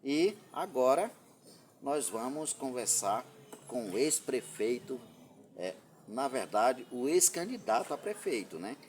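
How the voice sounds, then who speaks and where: A man hosting a podcast, introducing his guest with a lively, announcer-like delivery. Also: mid-range voice, slow, a little hiss or hum. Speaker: podcast host.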